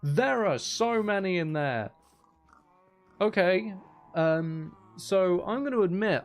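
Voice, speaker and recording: A man giving casual live commentary while streaming a video game, muttering wry, exasperated asides to himself. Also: fairly high pitch.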